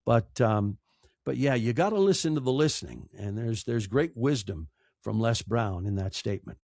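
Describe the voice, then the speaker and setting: A man's voice: mid-range voice, expressive, normal speed, gravelly, a little mellow, no echo. Emphatic man in a dry, close recording. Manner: emphatic, rhetorical questions and repeated phrases for effect. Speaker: podcast guest, broadcaster and audiobook narrator. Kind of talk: conversational interview with storytelling.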